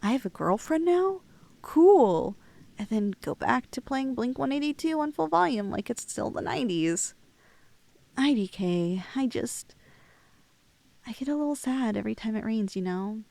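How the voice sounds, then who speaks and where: A woman's voice: on the high side, animated, moderate pace, creaky, dark tone, no echo. A woman playing a lovestruck, clingy young woman in an intimate ASMR roleplay, playful and hesitant, with a teasingly unhinged streak. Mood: wistful.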